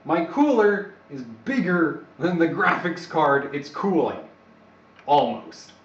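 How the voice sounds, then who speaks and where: A man's voice: on the high side, very animated, slow pace, recorded from a distance. A man who is not a native English speaker, rambling and joking on a live stream to his viewers. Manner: rambling, joking, talks to himself. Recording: echoey room, away from the mic, dull lo-fi sound.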